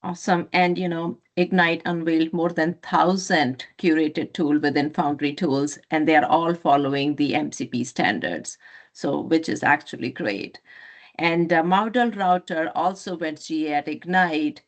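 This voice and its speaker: Low-pitched woman interviewer. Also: a little slow. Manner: encouraging, affirming. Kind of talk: conversational tech interview.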